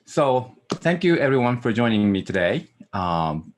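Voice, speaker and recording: A man's voice: medium pitch, big pitch swings, unhurried, slight background noise. A middle-aged man from Japan with a Japanese accent, giving an academic lecture over a video call, earnest and full of "uh" fillers. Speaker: guest lecturer.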